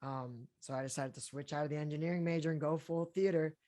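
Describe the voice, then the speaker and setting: A young adult man, an actor being interviewed, who talks in a relaxed, chatty way with lots of fillers. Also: fairly high pitch, quick pace. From Colombia, raised in Florida and Houston. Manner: relaxed and chatty, with many fillers and easy laughs. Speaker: actor guest. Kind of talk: casual interview talk.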